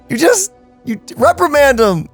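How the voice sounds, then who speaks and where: Excitable woman co-hosting a game playthrough, voice bouncing all over, quiet game music behind. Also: high voice. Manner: animated, excitable, quick to scold playfully. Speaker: gamer, channel co-host. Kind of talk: casual gameplay commentary.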